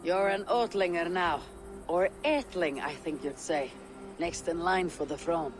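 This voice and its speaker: Someone doing a calm viking clan leader, level mid voice. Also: medium pitch, measured pace, over music, lightly acted. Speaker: game protagonist.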